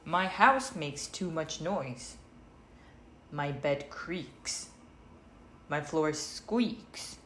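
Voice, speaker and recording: Slow, exasperated storybook man, read by a woman. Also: sits low, animated, fairly bright tone, heavily acted. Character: exasperated man bothered by a noisy house. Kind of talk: storybook character reading. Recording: roomy echo, faint noise.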